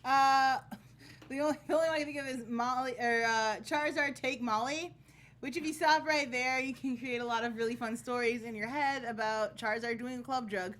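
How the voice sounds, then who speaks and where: A woman's voice: on the high side, fairly even intonation, fairly quick, a bit bright. A woman chatting casually as a co-host on a panel show. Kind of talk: casual chat.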